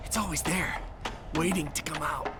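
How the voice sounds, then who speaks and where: A man's voice: a little high, steady tone, normal speed, close to an everyday voice. A man playing a sneering, foul-mouthed captive villain who taunts his captors in a video game cutscene. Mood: menacing taunting. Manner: mocking, taunting, profane. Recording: loud music under the voice.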